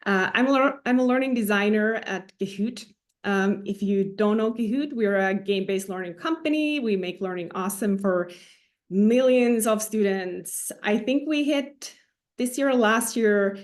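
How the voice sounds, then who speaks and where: A woman in her thirties from Finland, a native Finnish speaker, talks as a podcast guest. She sounds enthusiastic and warm, with a mid-range voice and a few restarts as she gathers her thoughts. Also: mid-range voice, normal speed. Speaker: podcast guest, learning designer. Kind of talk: casual interview talk.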